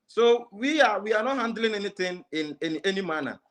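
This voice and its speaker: A man speaks as an interview guest, his voice pitched somewhat high for a man. He answers casually but emphatically, slipping into pidgin-tinged phrasing. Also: expressive, brisk, a bit bright. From Ghana. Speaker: interview guest, special aide.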